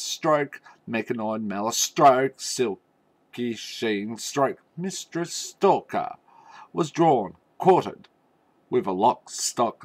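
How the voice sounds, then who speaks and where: Guy doing silly fairy tale storytelling, lowish gravelly voice, really theatrical, halting with lots of asides. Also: dry recording. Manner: theatrical, tongue-in-cheek asides.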